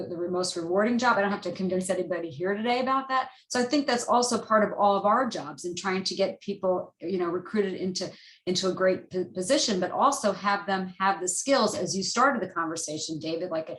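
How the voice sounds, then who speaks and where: A woman's voice: mid-range voice, a little fast, fairly bright tone. A woman professor speaking off the cuff in a panel discussion, earnest and often breaking off to rephrase herself. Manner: earnest, rambling, self-interrupting. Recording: roomy, echoey video-call sound.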